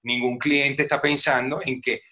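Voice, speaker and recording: Un hombre venezolano con acento venezolano, actor invitado en una charla de podcast, que explica con detalle y de forma animada. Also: male voice, medium pitch, level tone, moderate pace, bright, forceful tone. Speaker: actor guest. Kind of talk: casual podcast interview.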